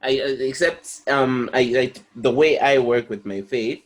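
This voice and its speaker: A man from Zambia chatting casually on a podcast over a video call, often pausing with fillers and restarting his sentences. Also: medium pitch. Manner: hesitant, many fillers and restarts. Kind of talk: casual chat.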